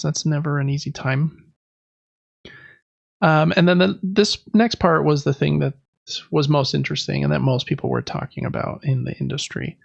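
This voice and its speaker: A man with a slightly high voice and a crackly fry runs through news as a podcast host. His delivery is informal and conversational, like casual commentary among friends. The audio is a little dull and lo-fi.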